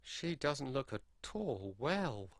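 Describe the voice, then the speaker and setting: An actor playing a gentle, naive young male wizard in a video game, speaking earnestly with a mildly wry touch. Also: mid-range voice, big pitch swings, very slow, no echo, clean studio sound, heavily acted. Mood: sympathetic.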